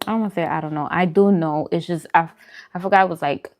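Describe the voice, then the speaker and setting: A woman's voice: on the low side, fairly even intonation, fast talker. A woman chatting informally with her live-stream viewers, answering comments as she goes. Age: adult, parent. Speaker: food vlogger and live streamer.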